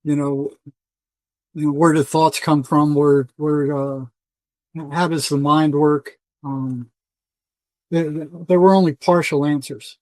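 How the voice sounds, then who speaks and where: An older man answering as an interview guest in a conversational, halting way, often repeating words and restarting. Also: on the high side, steady tone, darkish tone, dry recording, low-quality recording. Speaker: interview guest, retired psychotherapist.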